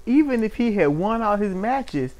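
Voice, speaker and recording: A man's voice: high voice, animated, normal speed, a little mellow. A man co-hosting a casual wrestling talk show, wry, stretching words out for emphasis. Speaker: podcast co-host. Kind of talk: casual chat about wrestling.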